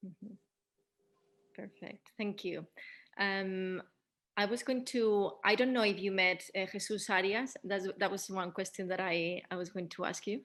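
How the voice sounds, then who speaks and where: A woman's voice: mid-range voice, steady tone, a little slow, a bit bright. A woman moderating a panel discussion, putting questions to the guests.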